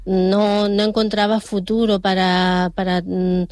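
A woman hosting a radio interview. She speaks gently and reassuringly, with many pauses and restarts. The studio sound is close and dry. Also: mid-range voice, little pitch movement, smooth, no room sound. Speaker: radio host.

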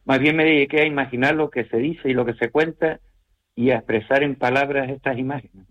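A man speaks at a middle pitch in a measured, reflective way, pausing with small hesitations and tag questions. He is a novelist being interviewed. The sound is slightly muffled, like a radio broadcast. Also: fairly even intonation, fairly bright tone. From Canary Islands.

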